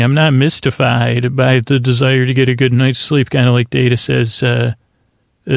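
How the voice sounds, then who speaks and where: A man with a creaky, fry-laden voice at an ordinary male pitch, recorded close and dry. He hosts a sleep podcast as an improvised monologue, wandering haltingly from thought to thought and doubling back on his phrases. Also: no echo. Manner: meandering, halting, circles back and repeats himself. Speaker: podcast host. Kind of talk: rambling improvised bedtime monologue to lull listeners to sleep.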